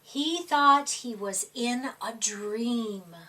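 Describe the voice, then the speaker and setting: High, bright-voiced woman reading a storybook. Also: very slow, far from the mic. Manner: animated, dramatic, draws out words for young listeners. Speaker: storyteller reading a children's picture book. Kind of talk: expressive children's storytime read-aloud.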